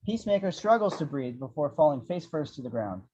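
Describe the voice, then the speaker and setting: A man with a fairly high voice reads screenplay action as narrator, tossing in wry, irreverent asides. The recording is dull and lo-fi, and the delivery is that of an amateur table read of an action script. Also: sing-song, fairly quick, a little mellow, breathy edge. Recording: dull, lo-fi audio.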